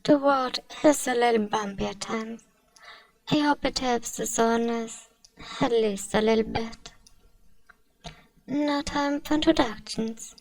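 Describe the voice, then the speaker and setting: A woman playing a gentle, wealthy, possessive vampire in a soothing, genteel ASMR roleplay. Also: a little high, unhurried, vocal fry, long pauses, no echo, far from the mic, rough recording. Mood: gentle, caring. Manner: soothing, genteel, addresses listener as dear. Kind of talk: ASMR roleplay, soft scripted acting.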